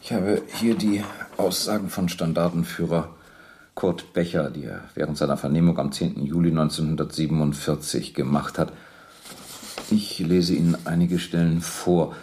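An actor playing a methodical police captain in a radio play, questioning and reading out documents in a formal, controlled way. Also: male voice, low-pitched, fairly even intonation, moderate pace, mellow, slight background noise. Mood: matter-of-fact. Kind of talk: German radio docudrama interrogation acting.

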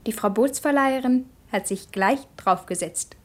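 A woman's voice: a little high, normal speed, close to an everyday voice. A woman playing an eager, musing village child in a poetic radio drama, reciting short lyrical phrases.

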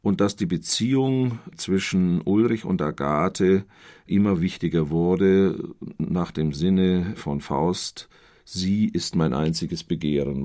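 Very deep, slow-speaking scholarly man. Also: darkish tone. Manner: deliberate, halting phrasing with formal wording. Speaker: literary scholar commenting. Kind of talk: explanatory commentary.

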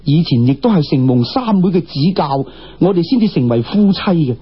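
A male storyteller plays an earnest young Cantonese Taoist disciple from a folk tale. The voice is very raspy and a bit high, humble and formal in its pleading. The audiobook sound is slightly dull. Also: lively intonation, normal speed. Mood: anxious, pleading.

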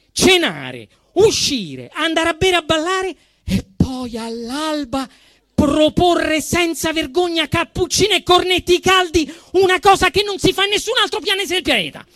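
A man with a Roman accent performs a satirical stage monologue in a very high-pitched, forceful voice. His delivery swings widely, emphatic and indignant with a mock-solemn edge. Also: no room sound, studio quality. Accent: Roman Italian. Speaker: actor performing a comic monologue. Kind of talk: theatrical satirical monologue, storytelling.

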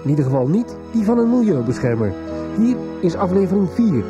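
A man's voice: high-pitched, sing-song, fairly quick, lo-fi sound. A man narrating a scripted radio soap recap as announcer, with a suspenseful storytelling manner. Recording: radio narration over loud music. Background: music.

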